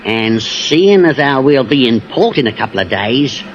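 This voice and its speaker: A man's voice: medium pitch, big pitch swings, moderate pace, natural voice. An actor playing a sly, servile blackmailing seaman with wheedling working-class speech in a 1950s radio drama. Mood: sly, deferential. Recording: muffled, noisy vintage radio recording.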